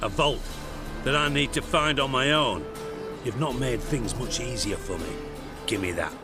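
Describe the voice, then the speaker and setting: A man playing a proud, menacing crime boss in a video game, speaking in a formal, deliberate, threatening manner. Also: medium pitch, natural voice. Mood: cold, menacing. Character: proud, menacing male crime boss owed his treasure. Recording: music behind the dialogue.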